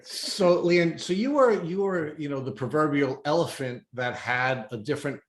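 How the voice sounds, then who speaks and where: A man's voice: a little high, moderate pace. A man hosting an interview, asking questions with long, wordy framing. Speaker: interview host. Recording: roomy, echoey sound.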